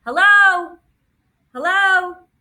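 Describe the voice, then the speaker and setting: Audiobook casting: woman as restless, chatty boy drone robot, high and loud, bright, eager delivery. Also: a little slow, recorded from a distance, heavily acted. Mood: loud, insistent. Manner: excitable, impatient, loud. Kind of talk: children's audiobook character voice.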